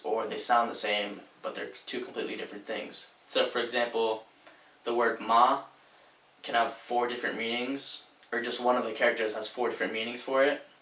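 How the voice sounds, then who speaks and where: Young man from Sweden, student intro, casual and monotone, bright tone, miked from afar. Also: mid-range voice. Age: young adult. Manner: casual, relaxed, with "like" and "um" fillers. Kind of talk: personal introduction monologue. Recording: echoey room, distant mic.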